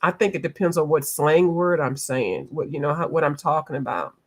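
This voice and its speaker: A fairly low-voiced woman chatting casually at home with viewers as she colors. She answers their comments out loud, laughs easily and keeps a loose, playful tone. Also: normal speed. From eastern United States. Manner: laughs often, playful, drifts between accents. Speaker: coloring livestream host. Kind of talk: casual chat with live viewers.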